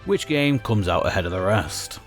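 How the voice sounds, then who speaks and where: A man's voice: lowish voice, expressive, moderate pace, soft background music. A man reading scripted narration in a grandiose tone, breaking it with crude jokes.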